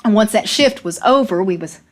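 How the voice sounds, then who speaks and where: Woman as young coal miner, dramatic monologue, medium female pitch, folksy rural phrasing, earnest, halting, repeated words. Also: expressive, lightly acted. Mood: reminiscing. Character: young coal miner recalling a deadly cave-in, plainspoken, earnest. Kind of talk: dramatic first-person storytelling monologue.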